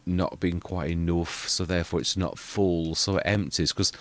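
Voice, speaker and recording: Brief: man, very deep and quiet, rambling gameplay commentary. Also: steady tone, moderate pace. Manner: rambling, self-correcting, muttering asides. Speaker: gaming channel host.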